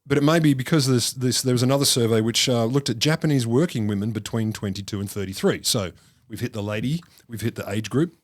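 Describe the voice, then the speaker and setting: A man's voice: mid-range voice, fast talker, dry recording. A man co-hosting a casual comedic science podcast, reading out study findings with wry jokes and asides. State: married. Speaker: podcast co-host presenting a science topic.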